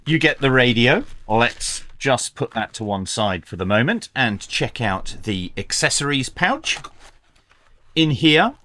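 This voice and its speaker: A man presenting a scripted product review in a measured, dryly humorous presenter's delivery. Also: medium pitch, very animated, pushed and bright. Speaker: radio review channel host.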